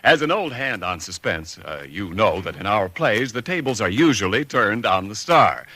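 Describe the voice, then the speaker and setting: Polished, animated 1940s radio announcer man. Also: lowish voice, moderate pace, no room sound. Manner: polished, formal salesmanship. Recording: vintage 1940s radio broadcast.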